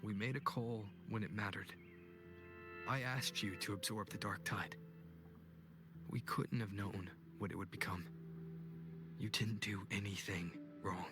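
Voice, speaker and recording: An actor playing a calm young male hero in a game cutscene, speaking in a steady, reassuring way. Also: fairly low pitch, measured pace, long pauses, lightly acted. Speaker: protagonist. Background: game music.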